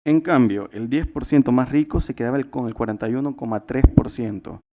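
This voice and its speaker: A man's voice: mid-range voice, a little fast, highs cut off, heavy background noise. A man in his twenties from El Salvador giving a formal talk at a youth summit, earnest, with frequent fillers and restarts. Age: 20s.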